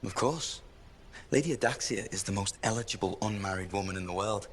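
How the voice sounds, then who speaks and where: A man's voice: on the low side, a little fast, big-room sound, a little hiss or hum, close to an everyday voice. A man playing a pompous, lovestruck suitor in video game cutscene acting, grandiose and adoring. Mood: adoring, smug.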